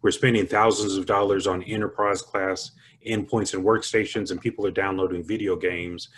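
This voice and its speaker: An Italian-born man who lives in the United States, a consultant giving an explanatory webinar talk in a measured way, building points from examples and lists. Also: low-pitched, steady tone, fairly bright tone, slight background noise. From born in Italy, lives in the United States. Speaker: cybersecurity consultant presenting.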